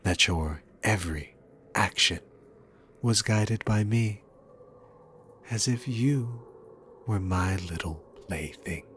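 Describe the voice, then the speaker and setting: A deep-voiced demon king acted by a man in an ASMR roleplay, taking his time over every taunt. He is sarcastic and dominant, talking down to the listener with smug condescension. Clear background noise sits behind the voice. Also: a bit bright. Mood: teasing, dominant. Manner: mocking, condescending, unhurried, punctuated by chuckles.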